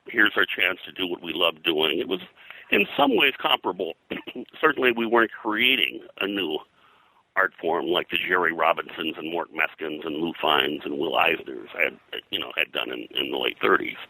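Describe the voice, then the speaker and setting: An older man reminiscing in an interview as a comics industry veteran, in a halting, rambling way peppered with "you know". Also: low voice, lively intonation, gravelly, pushed and bright, no echo, low-quality recording.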